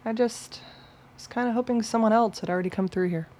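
A woman's voice: fairly high pitch, a little fast, mellow, close to an everyday voice. An actress playing a weary, guarded young woman on the run who talks bluntly and swears. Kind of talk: radio play acting.

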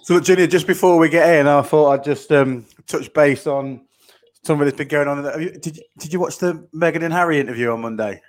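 A man's voice: a little high, fairly quick. A young man hosting a podcast, asking questions in a chatty, frank way. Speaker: podcast host. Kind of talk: casual interview chat.

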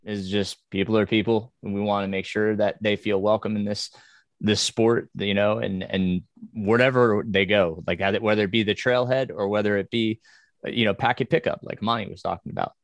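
A man's voice: on the low side, steady tone, fairly quick, no echo. A man from New York hosting a casual panel talk, hesitant and laughing often. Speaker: podcast host.

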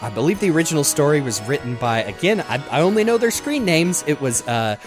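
A man's voice: fairly high pitch, big pitch swings, quick pace, over music. A man hosting a casual chat show, talking in an informal, jokey and rambling way. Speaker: podcast host.

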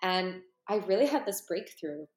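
A woman's voice: on the low side, animated, normal speed. A woman in her thirties from Canada, a life coach and retreat host, talking earnestly to her followers and rambling with lots of "you know" and "like". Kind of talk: personal monologue to followers. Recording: roomy echo.

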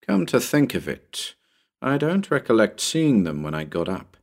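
A man's voice: mid-range voice, sing-song, a little slow, creaky, full character voice. A man voicing an easygoing, wry male companion in a storybook, with a casual, teasing manner. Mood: puzzled.

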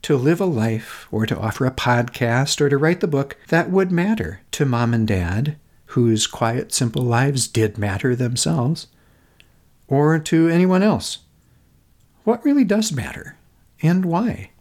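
Reflective man with expressive, lively intonation. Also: medium pitch. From Minnesota, United States. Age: middle-aged. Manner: earnest, contemplative, rhetorical questions, deliberate phrasing in short pieces. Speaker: podcast host. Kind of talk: scripted reflective personal essay narration.

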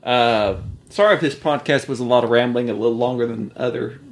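A man's voice: medium pitch, a little fast. Male co-host with an Oklahoma accent, rambling casual chat, jokey mock-grumpy tone. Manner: rambling, mock-irritated, joking. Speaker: podcast co-host. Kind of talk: casual podcast banter.

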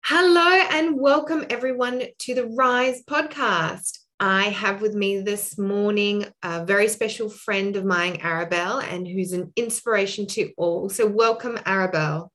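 A woman's voice: medium pitch, a little slow, few pauses, a bit bright. Woman hosting a podcast interview. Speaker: podcast host.

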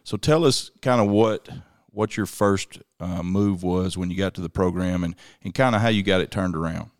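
A man's voice: low-pitched, normal speed, dry recording, studio quality. A man, asking questions in a casual sports interview as one of the hosts. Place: studio.

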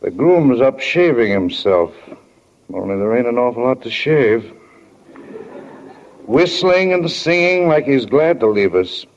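Middle-aged folksy husband, dry teasing humor, medium pitch, muffled vintage radio drama. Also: male voice, sing-song, a little slow, long pauses. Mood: teasing, fond. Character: teasing middle-aged small-town doctor, fond husband and father. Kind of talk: 1940s radio acting. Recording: muffled 1940s radio recording.

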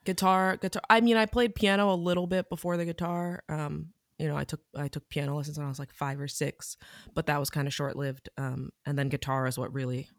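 A woman in her thirties, a musician guest on a podcast, talking in a laid-back way with soft laughs and many ums. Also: low voice, fairly even intonation, brisk, no room sound, studio quality. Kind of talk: casual interview chat.

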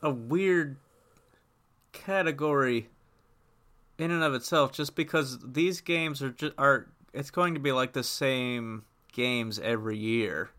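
A man's voice: medium pitch, takes it slowly, a bit bright. A man co-hosting a casual gaming podcast, speaking in a conversational, somewhat tentative way. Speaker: podcast co-host.

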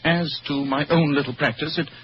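A man playing a brilliant, sardonic English gentleman detective in a radio drama, speaking precisely with dry superiority and an English accent. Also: fairly high pitch, steady tone, normal speed, lightly acted. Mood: bored, disdainful. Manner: precise, theatrical, dryly superior. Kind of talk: old-time radio drama acting. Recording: dull, noisy old radio recording.

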